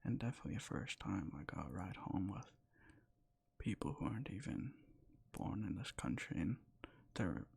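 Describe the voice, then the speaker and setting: A young man whispering in a soft, rambling way close to the mic, sleepy and sometimes losing his words, for boyfriend roleplay ASMR. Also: sits low, animated, moderate pace, creaky, pauses often, slightly rough. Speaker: ASMR creator.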